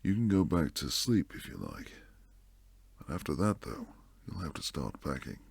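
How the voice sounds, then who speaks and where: A man playing a protective, devoted bodyguard and secret lover in an intimate boyfriend roleplay, low and husky, speaking earnestly close to the mic. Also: very animated, moderate pace, darkish tone, exaggerated voice. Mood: calm, explaining. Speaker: audio roleplay performer. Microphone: close, dry studio mic.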